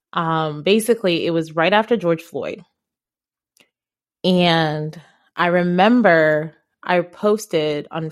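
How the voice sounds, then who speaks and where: A woman hosts a conversational podcast in a voice pitched a little lower than most. She tells stories casually, leaning on her points with emphasis and talking directly to the listener. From Ghana. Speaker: podcast host.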